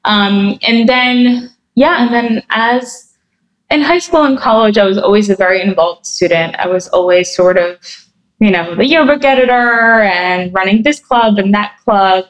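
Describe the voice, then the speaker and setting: A woman's voice: mid-range voice, a little slow, muffled recording. A woman from New Jersey answering interview questions, thoughtful and chatty, with many fillers and restarts. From New Jersey, USA. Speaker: guest.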